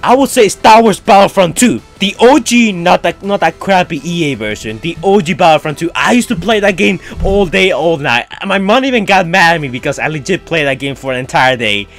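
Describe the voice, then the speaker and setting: A man's voice: high-pitched, lively intonation, brisk, hardly any pauses, a bit bright, faint music behind. A young man with a foreign-sounding accent, rambling casually through fan questions on his channel. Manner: rambling, chatty, frequent fillers. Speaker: YouTuber answering fan questions. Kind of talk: casual Q&A chat.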